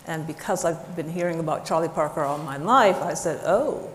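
Deep-voiced woman answering on a panel, soft and plain, echoey hall sound. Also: normal speed, slight background noise. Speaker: librettist, panel guest. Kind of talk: conversational answer. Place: large theater stage. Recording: echoey hall with faint ambience.

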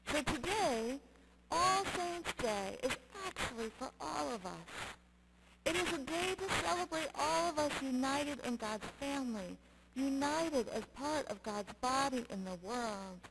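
A middle-aged woman pastor delivering a sermon in measured, emphatic short phrases. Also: high-pitched, animated. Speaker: Lutheran pastor. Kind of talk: sermon with scripture reading. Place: church sanctuary, at the pulpit. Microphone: gooseneck pulpit microphone. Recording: clearly noisy church sound recording.

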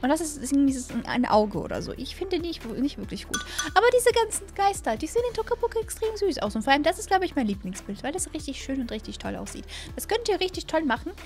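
A young adult woman giving casual, excitable gameplay commentary as a host, repeating phrases and stumbling over words, close on a studio mic. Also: high voice, fast talker, hardly any pauses, faint music behind. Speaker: gaming video host. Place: small room at a gaming setup, indoors. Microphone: close studio mic on boom arm.

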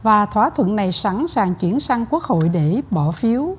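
Woman, news anchor, husky tone, formal steady reading, studio. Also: medium pitch, normal speed. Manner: even, formal news delivery. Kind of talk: read news bulletin.

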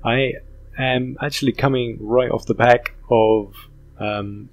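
A hoarse man hosting a casual gaming commentary, rambling with many ums. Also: medium pitch, steady tone, slow. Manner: rambling, self-interrupting, full of ums. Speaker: gaming channel host. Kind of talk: casual let's play commentary.

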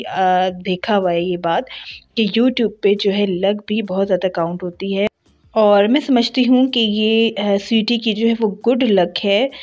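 A woman's voice: medium pitch, darkish tone, lo-fi sound. Chatty woman vlogger on a phone. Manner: chatty, rambling, frequent fillers and self-corrections. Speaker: family vlogger. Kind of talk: casual vlog commentary.

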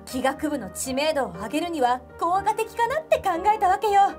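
A woman playing a cool, scheming high school club president in an anime radio drama, matter-of-fact and teasing. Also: sing-song, moderate pace, darkish tone, faint music behind. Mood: composed.

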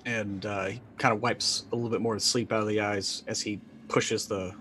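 A man in his forties describes his tabletop game character off the cuff. His voice is fairly low and he thinks aloud in halting phrases, with faint noise on the video call. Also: flat delivery, a bit bright. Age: 40s. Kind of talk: improvised third-person character description.

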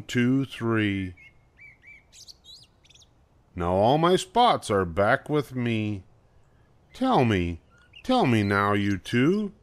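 An older man voicing an eager, showy spotted animal in a picture book, with slow, emphatic, singsong delivery. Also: mid-range voice. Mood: proud. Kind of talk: picture book read-aloud.

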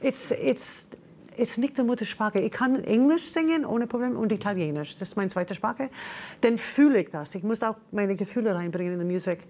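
A woman's voice: medium pitch, expressive, normal speed, darkish tone, a little hiss or hum. A middle-aged woman singer, not a native German speaker, chatting carefully as a guest on a TV studio set. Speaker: singer, talk show guest. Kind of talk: casual chat.

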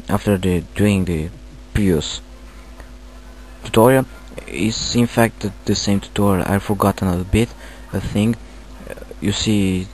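A man with a Romanian accent, not a native English speaker, halting through a screen-recorded software tutorial with many fillers. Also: sits low, slight background noise, rough recording. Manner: hesitant, halting, filler-heavy. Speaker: tutorial maker.

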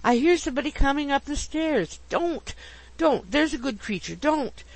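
A narrator voicing an amiable, genteel older gentleman from a storybook, easily flustered and given to exclamations. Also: mid-range voice, lively intonation, moderate pace, voice pushed up, close to an everyday voice, rough recording. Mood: astonished, flustered.

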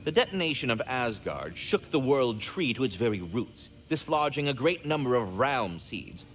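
A man's voice: mid-range voice, big pitch swings, normal speed, soft background music, natural voice. A man voicing a courteous, fretful, erudite magical squirrel in a video game, speaking in formal, wordy, theatrical phrases. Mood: warm, grateful. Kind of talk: video game character acting.

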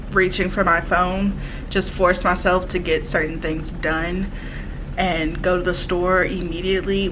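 A woman's voice: lowish voice, background noise. Chatty young woman vlogging at home. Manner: chatty, many fillers like "like" and "literally". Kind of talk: casual chat to camera. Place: small home, living room then kitchen, indoors. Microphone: phone camera at arm's length.

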